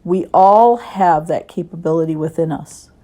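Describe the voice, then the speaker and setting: A woman reading a children's story and gentle lesson expressively, as a Sunday school teacher does. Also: fairly low pitch, moderate pace.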